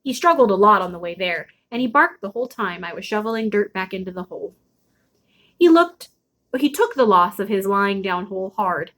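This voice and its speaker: A woman reading a children's chapter book aloud expressively, with dramatic pauses and drawn-out emphasis. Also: medium pitch, expressive, fairly bright tone. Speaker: storybook read-aloud host.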